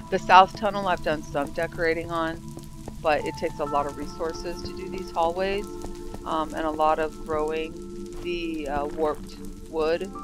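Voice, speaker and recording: Woman rambling through a game tour. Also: deep voice. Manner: rambling, thinking aloud. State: congested from sinus trouble. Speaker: game server builder. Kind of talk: casual gameplay commentary. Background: background music.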